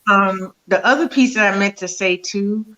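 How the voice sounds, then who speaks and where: A woman cast member speaking as a guest on a talk show, halting and hesitant with many fillers. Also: medium pitch, pushed and bright, lo-fi sound. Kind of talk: casual interview answer.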